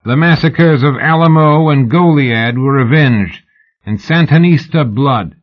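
A man's voice: medium pitch, unhurried, lo-fi sound, voice pushed up, natural voice. A man voicing a boastful old general in an audiobook, speaking in a pompous, oratorical way. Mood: triumphant. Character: old foreign general, boastful war hero giving pompous speech.

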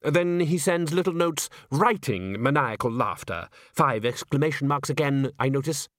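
A dry, unflappable male opera music director from a storybook, voiced by a male narrator. He speaks at a middling pitch with patient, deadpan calm, every line measured and faintly sardonic. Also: no room sound, close to an everyday voice. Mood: patiently sardonic.

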